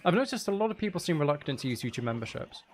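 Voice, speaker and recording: A man's voice: fairly high pitch, big pitch swings, racing, darkish tone, slight background noise. A man chatting casually and in a rambling way as he hosts a livestream while gaming. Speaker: streamer, ASMR channel host.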